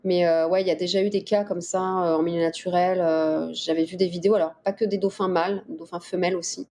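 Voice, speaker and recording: A middle-aged woman from the Paris region answering interview questions in a casual, explanatory way with hesitant pauses. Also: on the low side, flat delivery, mellow. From Paris region, France. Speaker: interview guest, dolphin researcher. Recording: clear background ambient noise.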